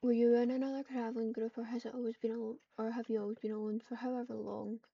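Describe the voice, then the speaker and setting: A woman's voice: on the high side, flat delivery, fast talker, gravelly, slightly rough. A woman voicing a polite, cautious and awkwardly formal cat medic in a game roleplay. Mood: curious, formal. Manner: stiffly formal, hesitant. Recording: dull, lo-fi, distant microphone.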